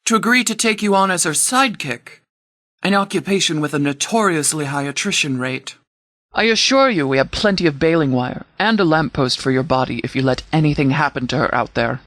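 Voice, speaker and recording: A man playing a stern, protective post-apocalyptic sheriff in a video game, speaking in a formal, deliberate, fatherly way. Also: a little high, expressive, fairly bright tone, dry recording. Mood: stern warning. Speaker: video game mod character actor. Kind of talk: scripted game dialogue.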